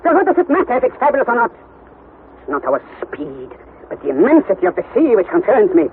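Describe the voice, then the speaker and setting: An actor playing an elderly, pedantic professor uncle in a vintage radio drama, excitable and impatient, exclaiming and repeating words for emphasis. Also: male voice, sits high, fast talker. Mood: irritable, impatient. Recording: tinny, band-limited vintage radio, faint noise.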